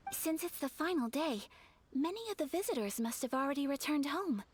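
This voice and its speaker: A woman playing a gracious, gentle young noblewoman in an anime video game, speaking politely and formally. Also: sits high, animated, fairly quick. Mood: gentle, wistful.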